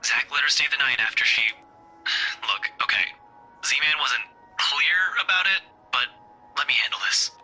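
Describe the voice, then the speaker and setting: Gruff, raspy, bright-voiced young detective. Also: male voice, mid-range voice, unhurried, pauses often, exaggerated voice, low-quality recording. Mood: serious, reassuring. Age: young adult. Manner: curt, blunt, dry. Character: gruff, blunt young male police detective, protective underneath. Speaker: game voice actor. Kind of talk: dramatic visual novel dialogue. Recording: music behind the voice.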